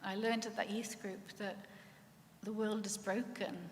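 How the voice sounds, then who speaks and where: A middle-aged woman with a Welsh accent telling her faith story in church, earnest and reflective, pausing often. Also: medium pitch, little pitch movement. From Wales. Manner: earnest and reflective, with pauses and restarts. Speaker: church speaker sharing her testimony. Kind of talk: personal faith testimony. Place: church hall. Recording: echoey church with long reverb, faint room noise.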